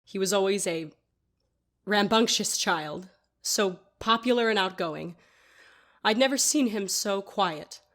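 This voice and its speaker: A woman's voice: mid-range voice, a bit bright, lightly acted. A woman playing a haughty, easily offended older lady in an audio drama, formal and scolding in manner. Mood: wistful, prim.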